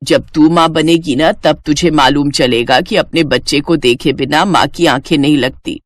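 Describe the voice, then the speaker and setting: A woman's voice: low-pitched, normal speed, no room sound, studio quality, voice pushed down. A woman voicing a doting middle-aged Indian mother who coaxes and fondly scolds in a moral story. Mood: fond, teasing. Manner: coaxing, affectionate, mock-scolding. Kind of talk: animated Hindi moral story dialogue.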